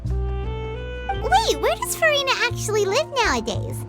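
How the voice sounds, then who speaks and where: A woman's voice: very high-pitched, measured pace, exaggerated voice. A woman voicing a tiny, chirpy female fairy companion who talks about herself by name in a game cutscene. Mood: bubbly. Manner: excitable, speaks of herself by name. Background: soft music.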